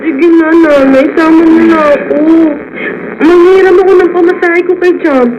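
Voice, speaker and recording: A woman's voice: very high voice, fairly even intonation, normal speed, highs cut off, a crowd in the background. A woman playing an earnest, devoted teenage daughter in a Filipino radio drama, pleading and respectful. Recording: noisy, hazy radio broadcast.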